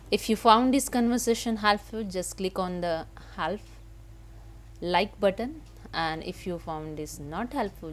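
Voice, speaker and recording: Slow-talking woman giving a halting tutorial. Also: on the low side. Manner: halting, simple non-native English phrasing. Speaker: tutorial host. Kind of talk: step-by-step software screen tutorial. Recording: noticeable background noise.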